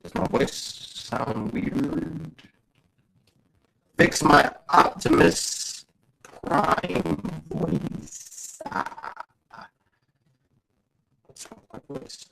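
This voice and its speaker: A man's voice: medium pitch, big pitch swings, extremely slow, vocal fry, pauses often, fairly bright tone, slight background noise, rough recording. A man hosting a casual livestream, who laughs often, repeats himself and answers his chat. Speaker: livestream host.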